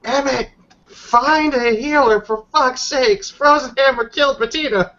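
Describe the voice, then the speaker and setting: A man's voice: very high voice, measured pace, sharp tone, pitched above the performer's own voice, exaggerated voice. A man voicing a fierce, frantic werewolf woman warrior in a tabletop game, blunt and urgent. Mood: frantic shouting. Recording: dull, lo-fi voice recording.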